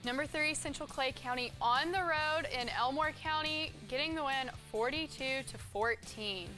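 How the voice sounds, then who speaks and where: A woman's voice: sits high, moderate pace, bright, forceful tone, soft background music. A woman co-hosting a sports show, reading football scores from a script.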